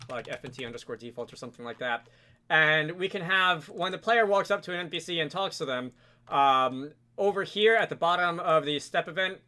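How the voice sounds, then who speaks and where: A man with a bright, slightly high voice hosts a software tutorial. He talks casually and informally, working through steps aloud with a wry streak. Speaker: tutorial host.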